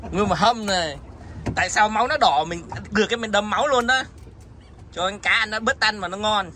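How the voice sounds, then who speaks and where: A man with a very high, bright voice, talking excitedly and cursing bluntly while he fishes from a boat. He is recorded outdoors, with faint background noise. His exclamations come loud and quick. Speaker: fishing vlogger. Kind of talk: excited fishing chat.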